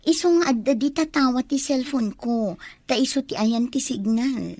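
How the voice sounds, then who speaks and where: An actress playing an impatient, jealous, spoiled daughter in an Ilocano radio comedy drama, whiny and complaining. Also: female voice, sits high, normal speed, darkish tone, no room sound.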